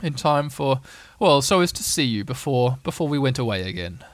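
A man's voice: medium pitch, big pitch swings, normal speed, dry recording, close to an everyday voice. A man voicing a friendly, simple, good-natured toy bear in a storybook, amiable and a little hesitant. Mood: amiable, thoughtful.

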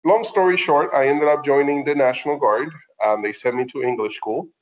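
A middle-aged man with a Puerto Rican accent and Spanish as his first language speaks in a conversational interview style. His pitch is average and his phrasing is halting. The recording is a little dull and lo-fi. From Puerto Rico. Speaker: veterinarian, podcast guest.

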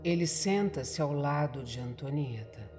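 A Brazilian woman narrates a scripted horror radio drama over prominent music. She takes her time, placing each phrase deliberately and dramatically. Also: low voice, animated.

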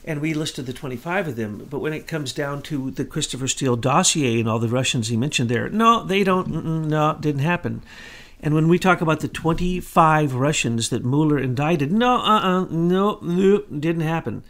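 A middle-aged man co-hosting a political commentary talk, talking fast and insistently. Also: on the high side, lively intonation. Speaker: co-host.

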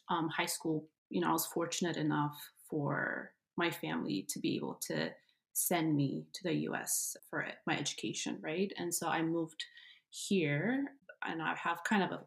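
A woman from Ethiopia telling her story as an interview guest, animated and laughing, with frequent fillers. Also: low-pitched, vocal fry. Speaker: guest, entrepreneur.

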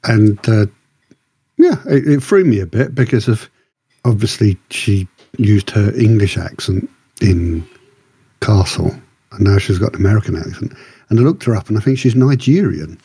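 Male podcast co-host, husky and relaxed, halting conversational delivery, rambling through opinions. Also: fairly low pitch, dry recording. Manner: rambling, halting, circles back. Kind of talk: casual discussion of TV shows.

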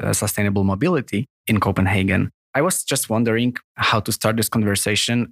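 A man's voice: lowish voice, a bit bright, no echo, clean studio sound. A man hosting a podcast, speaking in an earnest, careful and slightly formal way as he introduces and interviews.

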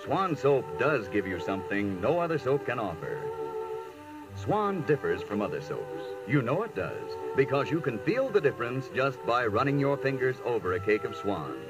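A male announcer in an old radio commercial, persuasive and very varied in pitch. He sounds somewhat off-mic, with music behind him. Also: very animated, normal speed. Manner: smooth persuasive sales delivery, addressing listeners. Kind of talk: scripted 1940s radio advertisement. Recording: old radio broadcast recording, somewhat distant.

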